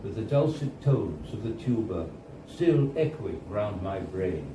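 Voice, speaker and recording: A man reads a first-person story aloud at a live event in a bar, in a measured storytelling manner with deliberate pauses. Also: fairly low pitch, animated. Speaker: reader of a short story. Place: indoors at a bar, live reading. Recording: roomy, distant, noisy live bar recording. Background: clear background noise and room ambience.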